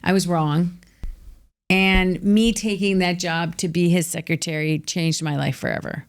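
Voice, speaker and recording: A middle-aged American woman telling her life story as a guest, energetic and emphatic, peppering her talk with "like". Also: fairly low pitch, measured pace, clear, resonant tone. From United States. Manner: energetic, emphatic, filler-heavy with frequent "like". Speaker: guest, author. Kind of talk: candid storytelling interview.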